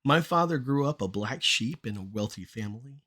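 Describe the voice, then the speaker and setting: A middle-aged man performing rhymed spoken-word poetry in a measured, deliberate delivery with short phrases. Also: mid-range voice, lively intonation, pushed and bright, husky.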